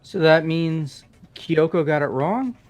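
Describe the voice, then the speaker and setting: A man voicing a dramatic, bewildered male high school student who blurts incredulously in a fan-dubbed video game. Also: slow pace. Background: game music.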